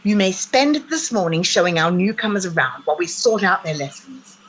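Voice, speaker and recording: Woman acting a haughty, husky, swooping school mistress. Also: fairly low pitch, expressive, brisk, poor audio. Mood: irritated, scolding. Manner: clipped, imperious scolding. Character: stern, haughty, disapproving old-fashioned girls' school mistress. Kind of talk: theatrical radio play acting.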